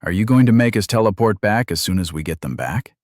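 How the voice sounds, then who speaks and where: A man's voice: fairly low pitch, lively intonation, fast, a little mellow, no room sound, clean studio sound, natural voice. A man voicing an earnest, pushy young friend. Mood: pressing.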